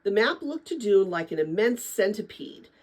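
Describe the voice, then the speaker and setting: Expressive woman narrator with a husky edge. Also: medium pitch, moderate pace. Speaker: read-aloud narrator. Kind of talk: dramatic storybook read-aloud for middle-grade listeners.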